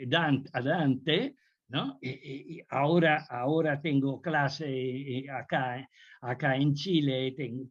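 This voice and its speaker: An older man from Italy with an Italian accent, a guest lecturer answering questions on a video call, speaking haltingly with many restarts. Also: mid-range voice, slightly rough. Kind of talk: Zoom talk Q&A. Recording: muffled video call audio.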